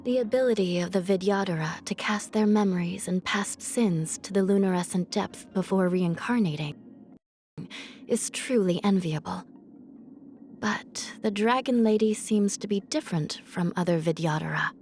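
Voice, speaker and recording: A woman voicing a gentle, melancholy young female judge of the underworld in a video game, speaking softly and breathily in a measured, pensive, formal way over music. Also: medium pitch, natural voice. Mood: solemn, measured. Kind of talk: scripted game character voice lines.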